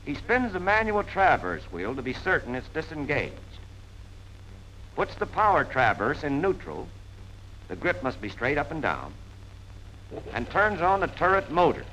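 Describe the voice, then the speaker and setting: A 1940s American military instructor with a bright, forceful voice and lively, swinging intonation. He narrates in a punchy, colloquial way, explaining each step plainly. The soundtrack is an old film recording with faint noise. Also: a little high, a little slow, pauses often, low-quality recording.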